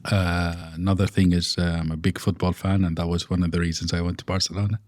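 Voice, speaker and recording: A man's voice: deep voice, level tone, quick pace, a little mellow, dry recording, studio quality. A middle-aged man from Iraq, an architect guest in an interview, speaking with frequent fillers and restarts. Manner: hesitant, many fillers and restarts. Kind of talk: conversational interview.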